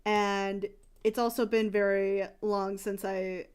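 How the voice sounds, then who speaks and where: Young woman streamer, casual rambling chat, smooth clear voice, mid pitch. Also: flat delivery, slow, a little mellow. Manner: rambling, restarts, candid.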